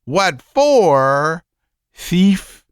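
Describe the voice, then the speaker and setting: A man voicing an agitated young male asylum patient who is bitter and scornful, shouting and laughing mockingly. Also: high voice, sing-song, drawn-out words, clear, resonant tone, no echo, clean studio sound, exaggerated voice. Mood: furious.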